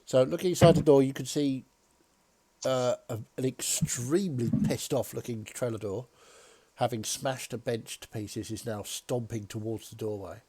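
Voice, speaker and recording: A man's voice: mid-range voice, fairly even intonation, normal speed, mellow, husky. A man running a tabletop game as dungeon master, dry and teasing as he calls turns and rolls.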